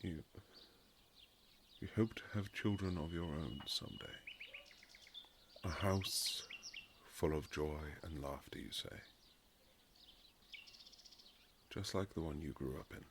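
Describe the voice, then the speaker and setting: A man playing a courtly Regency nobleman husband, tender and gently teasing, in a husky romantic roleplay with formal, old-fashioned phrasing. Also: measured pace, long pauses, background noise. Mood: tender, hesitant. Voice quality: very low, husky, gravelly. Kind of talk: romantic boyfriend ASMR roleplay, period drama.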